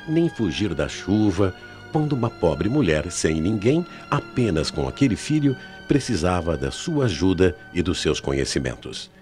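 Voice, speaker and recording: A man with a Brazilian accent narrating a radio mystery drama in a solemn, theatrical way with deliberate phrasing. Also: sits low, darkish tone. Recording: old AM radio tape, music underneath.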